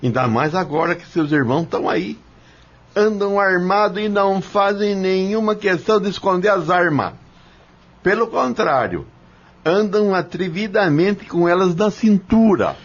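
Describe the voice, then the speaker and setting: A man's voice: high voice, animated, unhurried. A man playing a cautious, worried farmhand in an audio soap opera, speaking colloquially with a resigned, warning manner. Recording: dull, slightly muffled audio.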